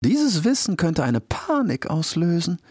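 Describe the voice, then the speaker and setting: A man voicing an old male turtle scholar who speaks very slowly and gravely. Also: on the high side, very animated, a little mellow, dry recording, clean studio sound, pitched above the performer's own voice, exaggerated voice. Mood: solemn. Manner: very slow, measured, grave. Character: slow, solemn, aged male turtle scholar.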